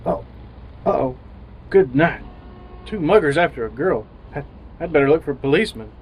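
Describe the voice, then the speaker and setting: A man's voice: a little high, animated, moderate pace, a little hiss or hum, natural voice, poor audio. An actor playing an earnest, jaded young ad man who narrates his misadventures in old-time radio drama style. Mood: hesitant, worried.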